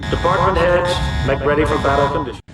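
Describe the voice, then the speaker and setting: A submarine captain, played by a man makes a formal film-drama announcement. His voice is fairly high for a man, heavily echoing and far from the mic, with music underneath. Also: fairly even intonation, quick pace, close to an everyday voice. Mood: grave, urgent. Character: formal male submarine captain addressing crew.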